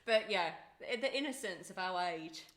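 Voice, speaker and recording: A middle-aged woman co-hosting a podcast, chatting casually in a loud, bubbly, teasing way. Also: on the low side, lively intonation, moderate pace. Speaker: podcast co-host. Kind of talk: casual chat. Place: home in Ibiza.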